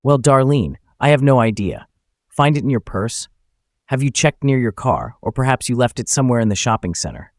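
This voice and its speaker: A man's voice: mid-range voice, lively intonation, brisk, dry recording, clean studio sound, close to an everyday voice. A man voicing a betrayed husband in a narrated story, falsely polite and coolly menacing, with a smug, controlled delivery. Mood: smug politeness.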